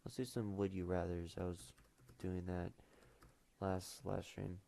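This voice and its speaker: A man's voice: deep voice, little pitch movement, a little slow, gravelly, mellow, slight background noise. Laid-back teenage boy streamer. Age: teens. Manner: mumbly, laid-back, trails off with fillers. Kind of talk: casual livestream chat.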